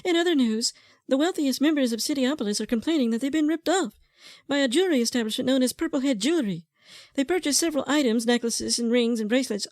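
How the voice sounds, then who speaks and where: A woman's voice: high-pitched, a little mellow, dry recording, studio quality, lightly acted. A woman playing a composed local news anchor reading stories in a parody newscast.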